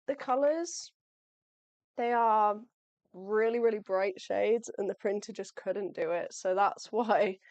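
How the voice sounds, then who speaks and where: Chatty young woman, voice going hoarse. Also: a little high, a little mellow, no room sound. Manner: chatty, thinks aloud, friendly and apologetic. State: losing her voice. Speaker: artist live-streaming shop orders. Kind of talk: casual livestream chat. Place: home workspace, packing orders.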